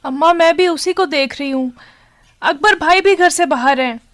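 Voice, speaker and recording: A dutiful young daughter in a radio play. Her voice is extremely high, with an earnest, caring manner. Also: normal speed, a bit bright. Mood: concerned. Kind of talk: radio drama acting.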